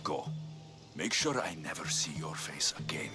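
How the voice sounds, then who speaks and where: A man's voice: expressive, unhurried, over music. A man playing a tough, confident Greek mercenary in a video game, terse, dry and menacing. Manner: terse, low-key, self-assured. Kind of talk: video game cutscene acting.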